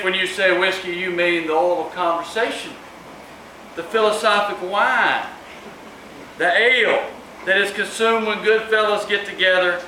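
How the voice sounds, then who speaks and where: A man's voice: sits high, sharp tone. A man giving a public speech as an author at a live book event. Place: room at a live event, distant microphone. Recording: echoey, noisy room recorded from far off.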